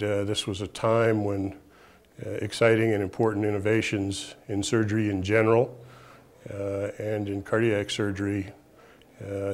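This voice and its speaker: A man interviewing a senior colleague in a formal, measured way, pausing often on "uh". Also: fairly low pitch, a little mellow. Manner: measured, many "uh" pauses. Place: monastery grotto.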